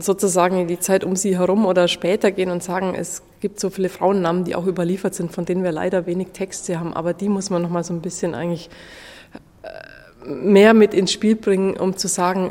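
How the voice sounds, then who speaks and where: Female expert interviewee, flat intonation, informal explaining, long reverb. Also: fairly low pitch, a little fast. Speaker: archaeologist being interviewed.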